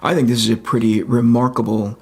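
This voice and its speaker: A man with a relaxing, slightly raspy voice at an ordinary male pitch. He talks casually, as a streamer does while working, and reflects aloud, often reaching for the right word before going on. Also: flat delivery, fairly quick. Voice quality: relaxing, soft-edged voice. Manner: reflective, searching for words, thinking aloud. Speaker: livestream host, comic book artist. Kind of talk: casual livestream chat while drawing.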